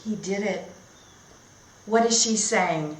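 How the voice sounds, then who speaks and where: Slow-speaking woman teaching in an echoey room. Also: mid-range voice. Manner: expressive, simple phrasing for learners. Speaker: teacher trainer. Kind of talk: read-aloud storytelling and teaching tutorial.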